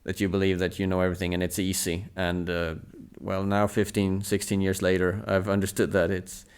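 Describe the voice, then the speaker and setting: A Swedish man in his thirties, a native Swedish speaker, talking in English as a podcast guest. He speaks in a thoughtful, measured way, with frequent hesitant fillers. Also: low-pitched, completely flat delivery, smooth. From Sweden. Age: 30s. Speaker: national team table tennis coach, podcast guest. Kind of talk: reflective casual conversation.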